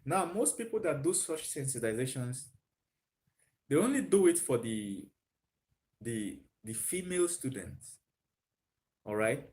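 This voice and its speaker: A man's voice: medium pitch, big pitch swings, measured pace, pauses often, darkish tone. A man hosting a live stream, thinking aloud with frequent fillers and answering viewer comments. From Nigeria.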